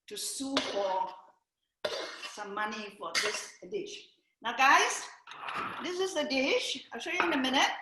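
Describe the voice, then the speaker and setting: Cheeky older woman cooking on a video call. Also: sits high. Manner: cheeky, joking, playful. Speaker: guest cook. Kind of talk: live cooking demo over video call. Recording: echoey room with clear background noise.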